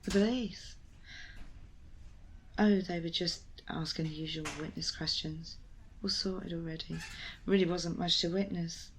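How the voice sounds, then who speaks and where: A woman's voice: on the low side, fairly even intonation, measured pace, pauses often. A woman playing a wry, evasive young rescuer in an intimate ASMR audio roleplay, with a sardonic, hesitant manner. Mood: awkward, reassuring. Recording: noisy ambience behind close voice.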